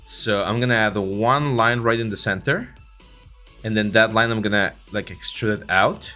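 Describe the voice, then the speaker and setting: A man's voice: fairly low pitch, moderate pace. Mexican-accented guy in his 30s teaching 3d art on stream, lots of uh and like, faint music behind. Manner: relaxed, filler-heavy, many restarts. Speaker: 3D art instructor and livestream host. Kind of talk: casual livestream tutorial, reading chat.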